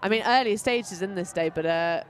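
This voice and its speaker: A woman calls an outdoor match in a relaxed, chatty way, her voice sitting at an ordinary middle pitch. She drifts between play-by-play and playful asides. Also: a little fast, some chatter behind, slight background noise. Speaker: commentator. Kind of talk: live sports commentary. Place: outdoor tournament field.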